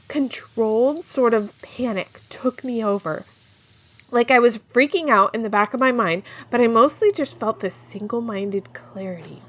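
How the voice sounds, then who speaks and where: Woman acting a high-voiced, frantic young fugitive. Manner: rambling, informal, breathless asides to listeners. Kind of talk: first-person audio drama, confiding podcast monologue.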